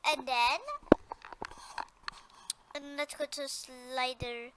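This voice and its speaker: A woman with a very high voice presents an informal step-by-step software tutorial. She speaks slowly and hesitantly, often restating an instruction before moving on. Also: little pitch movement, very noisy.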